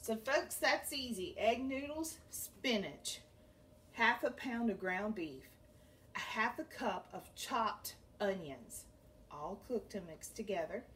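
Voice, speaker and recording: A woman's voice: medium pitch, animated, takes it slowly, a bit bright. A middle-aged woman hosting a cooking demonstration in her home kitchen, chatty and homey as she stirs the pots. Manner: chatty and homey, talks while stirring pots. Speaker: cooking show host. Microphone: camera a few feet away. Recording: slightly distant camera mic, faint room noise.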